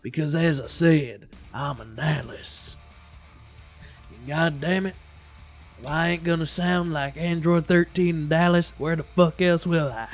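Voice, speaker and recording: A man's voice: fairly high pitch, a little slow, soft background music. A man hosting a reaction video, talking in a casual, rambling way with an irreverent, profane, jokey manner.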